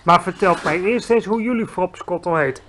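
A big, friendly, eccentric giant, put on by a male storyteller, who bellows his words and stretches them out slowly. He sounds high and lively for a man, exclaiming with muddled grammar as he explains his strange world. A read-aloud storybook voice. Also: a little hiss or hum. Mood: lecturing. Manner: mangled grammar, loud exclamations, drawn-out words.

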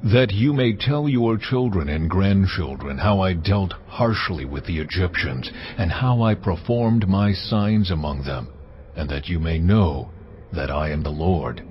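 Deep slow god voice, solemn and commanding, kinda muffled audio. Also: male voice, lively intonation, a little hiss or hum. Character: God speaking, deep commanding male deity.

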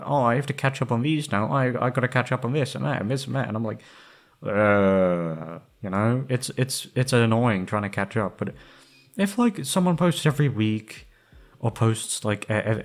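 A man chatting casually and rambling to his listeners in an informal, sweary, self-deprecating way. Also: medium pitch. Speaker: ASMR roleplay creator. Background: background music.

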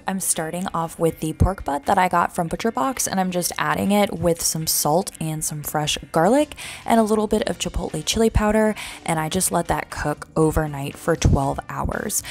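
A woman's voice: on the low side, gravelly, darkish tone. A young woman in her twenties chatting casually to camera in her home kitchen, with lots of fillers like "like" and "you know.". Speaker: vlogger. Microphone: camera in front of her at close range.

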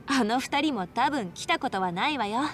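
A woman acting a friendly, modest young nightclub hostess in a Japanese game dub, with expressive, casual feminine speech. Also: a little high, moderate pace, soft background music, close to an everyday voice.

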